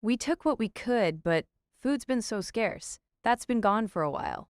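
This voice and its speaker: A woman's voice: fairly high pitch, animated, unhurried, smooth, no echo, studio quality. A woman playing a weary, earnest runaway fugitive in an audio drama.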